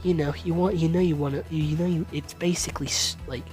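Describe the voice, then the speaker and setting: A man giving a casual, rambling series review. He swears often, gets excited, and stumbles over words at times when he talks fast. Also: fairly high pitch, soft background music.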